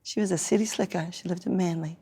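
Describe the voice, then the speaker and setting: An Australian woman from Newcastle, hoarse and croaky after singing sick the night before, telling stories playfully in a casual interview. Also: on the low side, steady tone, fast talker, warm tone. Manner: playful, theatrical storyteller with frequent laughs. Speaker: guest performer.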